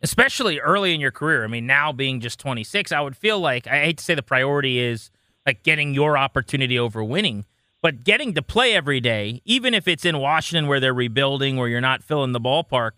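A man's voice: on the high side, sharp tone, no echo. An American man co-hosting a sports radio interview, asking questions in a conversational way on a close studio mic. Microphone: close studio microphone.

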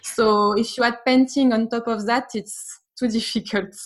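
A woman with a French accent talks earnestly in a fairly high voice. She is a painting teacher and sometimes pauses to search for the right English word. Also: fairly even intonation, poor audio. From France. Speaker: art teacher, guest. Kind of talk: casual conversation.

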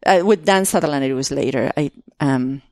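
A woman with an Argentine Spanish accent speaks in a low voice, recalling things in an unhurried interview chat. She hesitates and restarts her sentences often, with many fillers. The recording is dry and close. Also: darkish tone, no room sound. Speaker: artist guest. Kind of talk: casual interview conversation.

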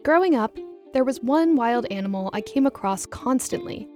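A woman from New York narrating a podcast promo in a measured, storytelling way. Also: a little high, animated, darkish tone, soft background music. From New York, United States. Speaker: podcast host. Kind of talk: scripted narration.

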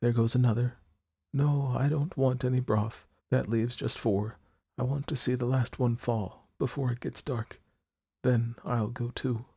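A man's voice: medium pitch, steady tone, measured pace, vocal fry, breathy edge, no room sound, studio quality, pitched above the performer's own voice. A man doing a frail, dying young woman. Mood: hopeless. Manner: soft, quiet, resigned. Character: gravely ill young woman, weary and hopeless.

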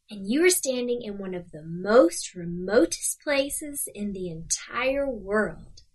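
A man voicing a gentle young female bunny in a soft, kindly manner for a children's story. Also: high voice, pitched above the performer's own voice, heavily acted, poor audio.

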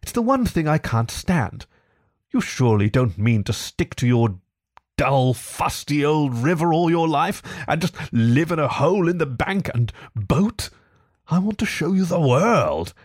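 A man voicing a boastful, excitable male toad from a storybook, with a pompous, gushing, theatrical manner. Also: medium pitch, unhurried, no room sound, studio quality. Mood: imploring, insistent. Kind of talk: storybook character acting.

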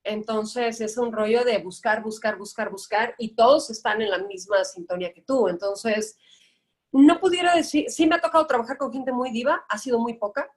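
A young adult Mexican woman, an actress guest, speaking in a fairly high voice. She talks in relaxed podcast chat, thoughtful and measured, often starting with small hesitations. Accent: Mexican Spanish. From Guadalajara, Mexico. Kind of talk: casual podcast interview.

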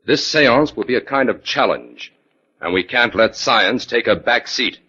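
A man acting in 1940s radio style as a calm, authoritative scientist detective, measured and dryly skeptical. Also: medium pitch, slightly rough, full character voice. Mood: calm, purposeful. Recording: dull vintage 1940s radio recording.

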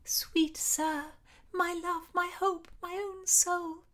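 A woman's voice: very high-pitched, flat delivery, slow pace, a bit bright, voice pushed up, exaggerated voice. A woman voicing a lovestruck, giddy young Tudor woman in a storybook reading. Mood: adoring.

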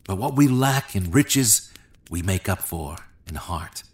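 A man's voice: low voice, lively intonation, a little slow. A man voicing a humble outlaw leader in a storybook reading, speaking sincerely and with emotion. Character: humble outlaw leader, future king, heartfelt. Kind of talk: storybook character voice.

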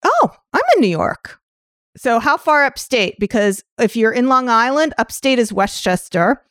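A woman hosting a podcast interview in a chatty style, jumping between quick asides and her next question. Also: fairly high pitch, very animated, fairly bright tone, no echo, clean studio sound. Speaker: podcast host. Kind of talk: casual interview chat. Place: New York.

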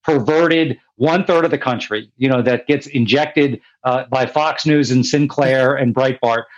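Political strategist on dull remote audio. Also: male voice, medium pitch, a little slow. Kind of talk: remote panel commentary.

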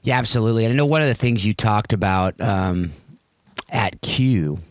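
Male podcast host, American, lower register, chatty conversational interviewing, very dry close sound. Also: normal speed, no echo. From United States.

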